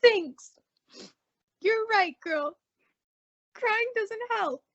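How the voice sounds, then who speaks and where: Woman as excitable best friend, sky-high pitch, fluttery, theatrical, radio comedy, muffled lo-fi audio. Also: extremely slow, full character voice. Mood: bracing. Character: excitable, sympathetic best girlfriend of a housewife. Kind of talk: old-time radio comedy acting. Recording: dull, lo-fi audio drama recording.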